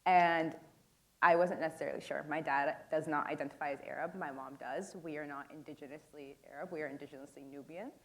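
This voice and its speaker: A woman's voice: low-pitched, fairly even intonation, a little fast, a bit bright. A young woman moderating a conference panel in a casual way, filling her talk with "like" and "um.". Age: young adult. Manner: casual, lots of "like" and "um" fillers. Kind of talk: panel discussion. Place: conference room. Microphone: handheld microphone.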